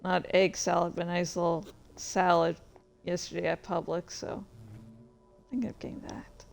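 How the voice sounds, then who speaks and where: A woman's voice: fairly low pitch, flat delivery, measured pace, vocal fry, soft background music. A woman hosting a casual livestream, rambling and narrating her own actions with exclamations as she plays a game. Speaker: livestreamer and host. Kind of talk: casual livestream chat while playing a game.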